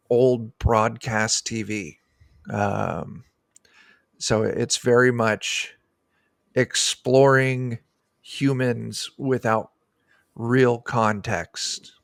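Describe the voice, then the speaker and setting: Slow-paced man with a gravelly creak. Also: medium pitch, no echo, studio quality. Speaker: guest, tabletop game designer. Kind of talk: casual interview explanation. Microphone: desk microphone on a video call.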